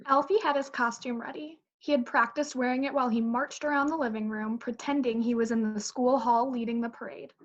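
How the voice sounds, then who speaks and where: A woman's voice: a little high, fairly even intonation, fairly quick, hardly any pauses. A woman teacher reading a children's picture book aloud on a dull, lo-fi recording. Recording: dull lo-fi audio.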